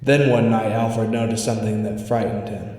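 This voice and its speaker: A man's voice: on the low side, level tone, normal speed, a little mellow. A man narrating a scripted spooky story as a storyteller. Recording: echoey reverberant hall sound.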